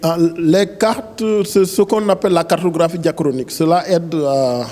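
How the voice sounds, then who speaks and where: A man's voice: fairly high pitch, moderate pace, mellow. A man with a Senegalese accent explaining things in an interview as an agency director, hesitating and restarting his phrases. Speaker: director general of a planning agency.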